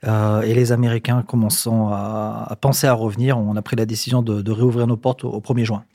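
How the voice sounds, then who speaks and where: A man's voice: fairly low pitch, flat delivery, a little fast, dark tone, no echo, clean studio sound. A man in his forties from southern France, a hotel manager answering interview questions with many fillers and restarts. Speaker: hotel general manager, guest.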